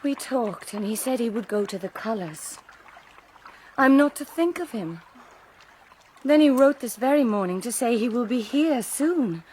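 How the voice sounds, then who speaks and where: An actress playing a playful, genteel and lovelorn young gentlewoman who flusters easily, in a period radio drama. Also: on the high side, lively intonation, measured pace, slight background noise. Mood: flustered, anxious. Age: young adult.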